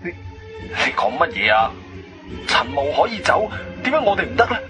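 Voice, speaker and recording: A man's voice: sits high, big pitch swings, normal speed, over music. An actor playing a bewildered, anxious man who stammers and restarts, in a Cantonese radio drama. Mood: shocked. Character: bewildered, anxious man searching for his companion.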